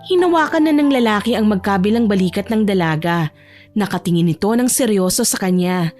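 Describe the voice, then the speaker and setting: A woman's voice: medium pitch, lively intonation, fairly quick, a little mellow. A woman with a Filipino accent narrating a dramatic audio story in a scripted storytelling style. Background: music.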